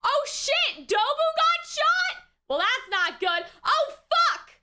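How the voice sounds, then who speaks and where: Very high, bright-voiced woman reacting excitedly. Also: takes it slowly, a little hiss or hum. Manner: exclamatory and slangy, swinging between shouts and mutters. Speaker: reaction video host. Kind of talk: casual, excitable live reaction commentary.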